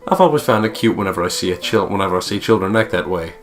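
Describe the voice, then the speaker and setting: A man's voice: sits low, animated, racing, soft background music. A man doing a joking let's play, narrating a visual novel aloud in a playful, theatrical, sweary way. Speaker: let's player reading the game aloud.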